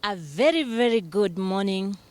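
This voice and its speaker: A man hosting an interview show, opening with emphatic greetings and then asking questions with frequent soft affirmations. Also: sits high, animated, moderate pace, over loud music. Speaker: interview host.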